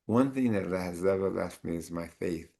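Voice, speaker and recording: A man sharing his own story of faith and then moderating a community panel, with halting speech and many fillers. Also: sits low, gravelly, warm tone.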